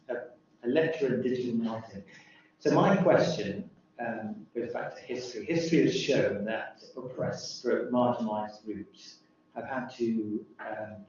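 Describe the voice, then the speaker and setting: A man, a lecturer, asks a question from the audience, halting and correcting himself. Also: medium pitch, darkish tone, a little hiss or hum. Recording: distant, echoey, dull hall audio.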